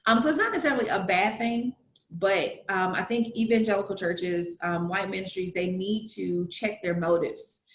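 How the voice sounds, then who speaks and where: A woman's voice: medium pitch, moderate pace, roomy sound. A woman with a Southern US accent in casual conversation, earnestly explaining her points with analogies and frequent fillers. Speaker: guest.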